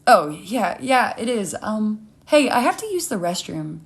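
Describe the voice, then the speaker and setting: A woman reader acts out a young woman on a date in a short story. She is awkward and easily flustered, and her words come out halting, with hesitant ums. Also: mid-range voice, sing-song, gravelly. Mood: distracted.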